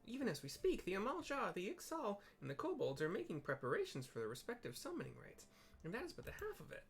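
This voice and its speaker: A man's voice: sits high, wildly swooping pitch, quick pace, creaky, breathy edge, pitched above the performer's own voice, heavily acted. A man voicing an earnest, dutiful female leader in a fantasy game, speaking in formal, old-fashioned phrases with careful diction. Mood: grave, concerned.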